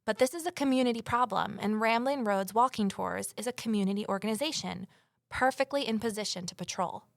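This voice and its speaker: An actress playing a determined, persuasive amateur sleuth in an audio drama, earnest in both dialogue and first-person narration. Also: female voice, mid-range voice, fairly quick, vocal fry, no echo, clean studio sound, close to an everyday voice.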